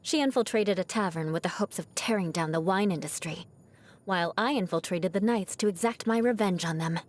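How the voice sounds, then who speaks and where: A woman voicing a proud young noblewoman knight in a video game, composed and haughty, with formal diction and a grudge-holding edge over a softer heart. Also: medium pitch, fairly quick, close to an everyday voice. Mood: grudging fondness. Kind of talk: scripted video game character voice lines.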